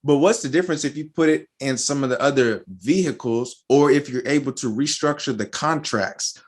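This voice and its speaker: A man's voice: medium pitch, moderate pace. A man hosting a podcast interview, asking follow-up questions and often chiming in with short affirmations. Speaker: podcast host. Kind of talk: interviewing a guest.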